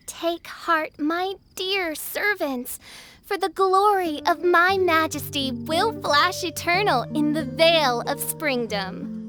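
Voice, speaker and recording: A haughty teenage princess from an anime-style game, with a very high girlish voice. She is pompous and theatrical, speaking in flowery archaic language as if addressing her subjects. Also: slow, bright, forceful tone, faint music behind, exaggerated voice. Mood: grandiose.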